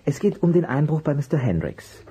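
A man's voice: on the high side, big pitch swings, brisk. A man playing a polite, easily flustered private detective in a German audio drama, courteous and hesitant. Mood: polite, nervous. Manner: courteous, hesitant, apologetic under pressure. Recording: noisy, clicky vinyl transfer.

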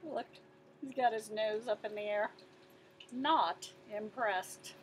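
A woman in her fifties reading a picture book aloud as a teacher, expressive and putting questions to her listeners. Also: mid-range voice, takes it slowly, a little hiss or hum, rough recording. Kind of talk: animated children's picture-book read-aloud.

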